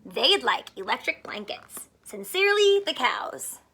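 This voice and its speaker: Storybook cow on strike, read by a woman: high, bright, politely firm, deliberate in its demands. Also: big pitch swings, husky, exaggerated voice. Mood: polite, insistent. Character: striking farm cows, firm, politely demanding letter-writers.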